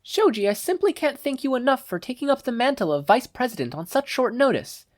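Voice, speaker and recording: A reader acting out a proper teenage schoolgirl in a superhero story. The voice is pitched well up, formal and earnest, with gushing politeness and lively swoops. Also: brisk.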